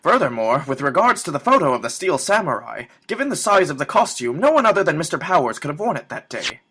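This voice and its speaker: A man's voice: a little high, sing-song, fast talker, bright, forceful tone, husky, exaggerated voice. Man acting a formal, pompous elderly judge. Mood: solemn. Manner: formal, declamatory. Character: stern pompous elderly male judge presiding over a trial. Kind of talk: amateur fan dub of a courtroom game.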